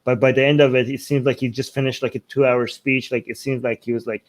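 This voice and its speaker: A man from Iran whose first language is Persian talks politics casually as a show host. His voice sits at a middle pitch. He rambles through his points, circling back to repeat and reword them. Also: flat delivery, fast talker, crowd noise. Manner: rambling, repeating and rephrasing himself.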